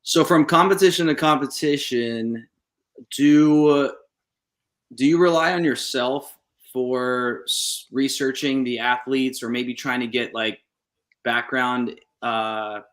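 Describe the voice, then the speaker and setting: A man's voice: medium pitch, fairly bright tone. A man hosting a casual interview over a video call, asking long, rambling questions with fillers and laughs. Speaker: podcast host. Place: indoor room.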